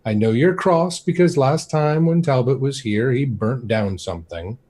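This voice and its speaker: A man's voice: medium pitch, lively intonation, moderate pace, warm tone, slightly rough, voice pushed up. A man voicing a sly woman of business who answers in a sweetly evasive way. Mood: soothing.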